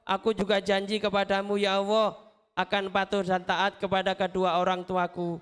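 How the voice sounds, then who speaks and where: High-pitched, clear-voiced male children's preacher. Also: moderate pace. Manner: animated, engaging, asks questions and repeats phrases for children. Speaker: children's storyteller and motivational speaker. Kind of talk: interactive Islamic motivational talk to schoolchildren. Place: live event at a school before children.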